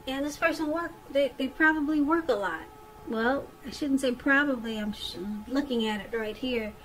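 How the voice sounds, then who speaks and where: A woman's voice: high voice, normal speed, a bit bright, low-quality recording. A woman giving a conversational tarot reading straight to the listener, repeating phrases for emphasis. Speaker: tarot reader.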